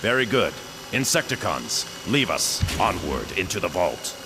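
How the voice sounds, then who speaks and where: An actor playing a calculating, commanding robot overlord who gives formal, imperious orders in a video game cutscene. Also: male voice, low-pitched, big pitch swings, unhurried, exaggerated voice. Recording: loud music over the dialogue.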